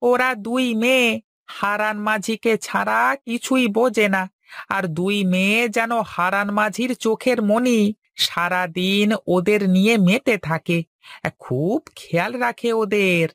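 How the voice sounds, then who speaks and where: A woman's voice: medium pitch, measured pace, no echo, studio quality, lightly acted. A woman voicing a loyal, deferential servant and messenger in a cartoon, speaking assuringly. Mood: reporting news. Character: loyal servant-messenger watching over the master's daughters. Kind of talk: Bengali cartoon voice acting. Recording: music under the voice.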